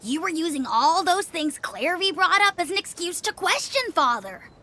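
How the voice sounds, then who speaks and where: An actress voicing an obedient young child in a video game, reporting others' misdeeds with precise, accusing calm. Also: female voice, extremely high pitch, normal speed, exaggerated voice. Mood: cool, accusing.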